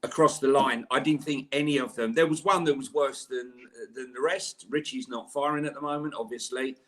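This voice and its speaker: Excitable guy co-hosting a fan podcast, keeps restarting his sentences, mid-pitched voice. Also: brisk. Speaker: podcast co-host. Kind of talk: live fan podcast chat.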